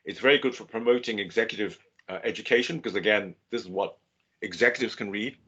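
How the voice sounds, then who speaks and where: Older guy, professor type, low husky voice, lecturing over a muffled video call mic. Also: fairly bright tone. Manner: measured, explanatory, with fillers and restarts. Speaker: business school professor giving a webinar. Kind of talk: academic webinar lecture. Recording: dull lo-fi webinar audio.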